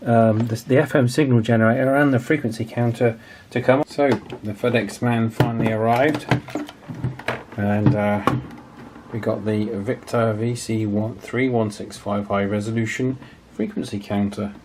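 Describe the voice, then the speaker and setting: A man's voice: fairly low pitch, steady tone, normal speed, darkish tone. Man, noisy casual workbench narration. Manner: hesitant, thinking aloud. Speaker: video host, radio restoration hobbyist.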